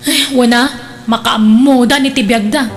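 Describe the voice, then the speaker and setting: A woman's voice: fairly high pitch, fairly even intonation, moderate pace, faint music behind, close to an everyday voice. A woman playing a scolding, pessimistic older sister in a radio drama, with a disapproving manner. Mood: dismissive.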